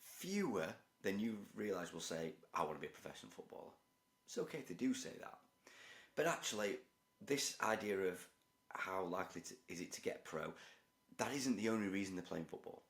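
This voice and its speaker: A man with a croaky voice, explaining his points to camera in a measured, structured way. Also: deep voice, lively intonation, echoey room. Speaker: youth football researcher and parent educator. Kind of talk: direct-to-camera talk.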